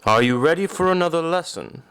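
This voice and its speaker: A man acts out a brooding masked phantom in an audio drama. He speaks formally and imperiously, with a wide-moving pitch. The sound is dry and close. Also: lively intonation, a little fast, a bit bright, clear, resonant tone, no room sound. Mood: stern, softening. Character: brooding, commanding male phantom of an opera house.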